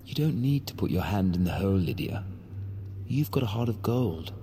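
A man's voice: lowish voice, sing-song, normal speed, dark tone, slight background noise. A man playing an uneasy, blunt solicitor on holiday, speaking in an offhand, hedging way in an audio drama. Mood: reassuring. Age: adult. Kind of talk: casual dialogue in audio fiction. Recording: music under the voice.

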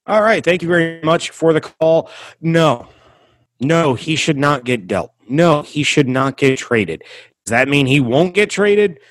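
A man hosting a sports podcast, giving emphatic opinions with rhetorical questions and deliberate stresses on key words. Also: fairly high pitch, expressive, no room sound, clean studio sound.

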